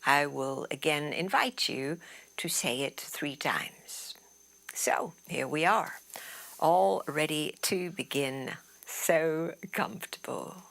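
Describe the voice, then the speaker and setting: A woman teaching yoga nidra, guiding relaxation in a soothing, unhurried way that often trails off into breathy softness. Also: low voice. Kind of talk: guided relaxation meditation instruction.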